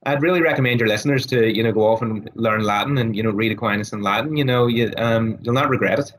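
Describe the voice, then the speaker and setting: A man whose voice is hoarse and raspy, as if it is starting to give out. He talks casually as an interview guest, circling his points with ums and restarts. His pitch sits in the middle for a man. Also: quick pace, fairly bright tone. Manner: thoughtful, many fillers and restarts. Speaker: guest scholar on Aquinas.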